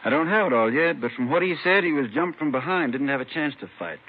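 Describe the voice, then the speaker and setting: A man's voice: fairly high pitch, animated, fast. A man playing a businesslike police detective, speaking in clipped, matter-of-fact phrases in an old radio crime drama. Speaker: supporting actor. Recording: tinny old radio recording, faint noise.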